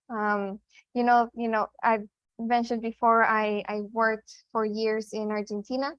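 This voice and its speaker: Chilean-born woman, fairly high and level. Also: a bit bright, no room sound, highs cut off. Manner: thoughtful, many fillers and restarts. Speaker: podcast co-host. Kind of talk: casual conversation.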